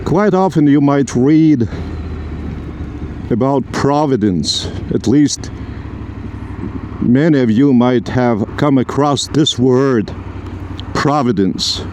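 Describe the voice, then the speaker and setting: A man whose first language is Lithuanian gives a spiritual teaching in slow, halting short phrases while walking outdoors on a city street. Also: medium pitch, animated, a little mellow. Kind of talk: spoken spiritual teaching on a walk. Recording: noisy street recording. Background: traffic and roadworks.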